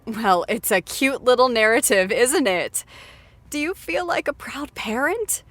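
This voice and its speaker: Effusive, teasing female interview host with lively pitch, measured pace and a close dry sound. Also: dry recording. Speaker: after-show host. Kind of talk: playful hosted interview.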